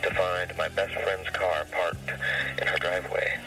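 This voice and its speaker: A man's voice: low-pitched, little pitch movement, unhurried, music in the background. A man reading a story aloud in a distant, radio-like recording.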